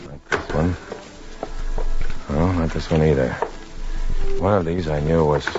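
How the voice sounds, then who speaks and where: An actor playing a tense undercover infiltrator, narrating in a clipped, dramatic 1950s radio drama style. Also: male voice, rumbling low, steady tone, measured pace. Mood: tense, hushed. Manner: clipped, dramatic, hard-boiled delivery. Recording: muffled 1950s radio recording with music.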